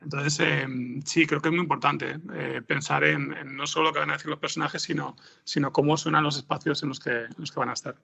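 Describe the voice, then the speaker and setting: Man, very creaky, forceful bright tone, halting, repeats words, informal interview. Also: a little high, steady tone. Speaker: podcast scriptwriter being interviewed.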